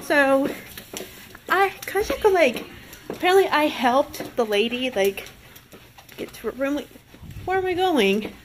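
A woman with a high voice records a casual vlog on a handheld phone. She talks slowly, rambling and repeating herself in halting bits. There is clear noise around her in the indoor space. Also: expressive. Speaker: convention vlogger. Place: hotel corridors, elevator and lobby, indoors. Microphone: phone at arm's length.

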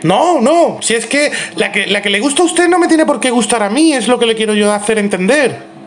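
A man playing a prissy, indignant neighbour on a prank call, halting and stiffly formal. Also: very high voice, lively intonation. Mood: flustered complaint. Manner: halting, repetitive, stilted formality. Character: prissy, indignant, fussy neighbour complaining by phone. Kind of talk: improvised prank phone call.

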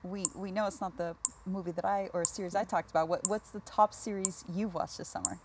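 An animated woman in her thirties presenting casually as host of a TV talk show. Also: medium pitch, brisk, a little hiss or hum. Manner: bubbly, animated. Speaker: TV host running a quick-fire quiz. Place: TV studio with sofas.

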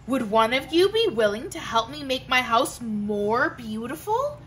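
Woman reading a soft, earnest storybook hermit crab; bright, high, kindly. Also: sing-song, measured pace, a little hiss or hum, heavily acted. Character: gentle, polite male hermit crab.

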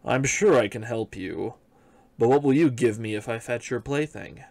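A man voicing a frog in a fairy tale read aloud, dropping his voice low and drawing out his words. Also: normal speed, gravelly, a little mellow, no room sound. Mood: bargaining. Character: slow, coaxing male frog from a well.

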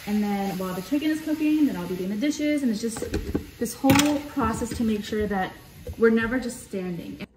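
A woman's voice: medium pitch, darkish tone, a little hiss or hum, poor audio. A young adult woman casually narrating her day for a vlog, chatty and full of fillers. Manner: chatty, filler-heavy, talks to the viewer. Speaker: vlogger.

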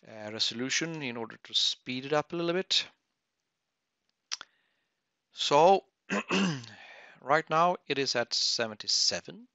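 Guy doing a webinar software walkthrough, slow n halting, dull dry audio. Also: medium pitch, no room sound. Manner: halting, thinking aloud, frequent throat clearing. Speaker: webinar presenter. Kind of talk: unscripted software tutorial.

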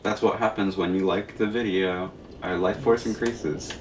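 A man's voice: sits low, fairly even intonation, moderate pace, reverberant, noisy background. A man bantering casually and jokingly as he co-hosts a gameplay video. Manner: joking, giggly. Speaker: gaming video co-host and player. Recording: distant mic under game music. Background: video game music.

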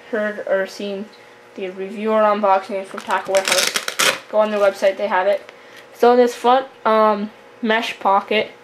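A young woman from Canada giving a casual, halting gear tour as a fishing vlogger, recorded at home on a camera microphone. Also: mid-range voice. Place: room at home with wooden floor. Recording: noisy amateur camera recording, handling clicks.

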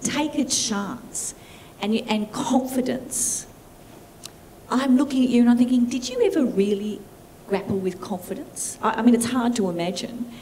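A woman in her fifties moderating a conference Q&A with a handheld mic, chatty and warm, often restarting her sentences. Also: fairly high pitch, lively intonation, moderate pace, a little hiss or hum, poor audio. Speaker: event moderator. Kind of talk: moderating a panel Q&A. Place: large conference hall with audience.

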